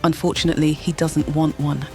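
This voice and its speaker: A woman with a low voice tells a story in dramatic, measured narration. Clear music plays under her the whole way. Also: little pitch movement. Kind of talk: scripted audio drama narration.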